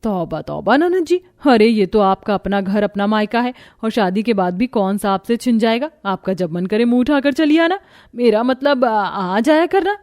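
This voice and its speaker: A woman voicing a sharp-tongued, scheming middle daughter-in-law in a cartoon, with a sly, teasing manner. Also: on the high side, expressive, moderate pace, dry recording, clean studio sound, close to an everyday voice.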